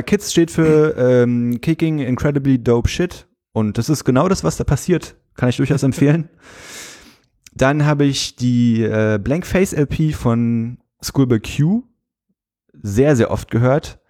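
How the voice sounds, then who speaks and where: A man, co-hosting a casual podcast and talking about music in a relaxed, hesitant way with many fillers. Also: mid-range voice, takes it slowly. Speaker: podcast co-host.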